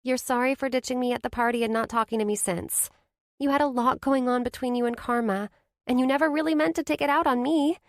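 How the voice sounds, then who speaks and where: A bubbly young woman's best friend, pitched noticeably high and lilting, as a narrator puts her on. She reassures in a soft, caring tone. The recording is close and dry. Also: fairly even intonation, fast, dry recording. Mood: compassionate. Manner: gushing then gently reassuring, lilting. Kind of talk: audiobook character voice.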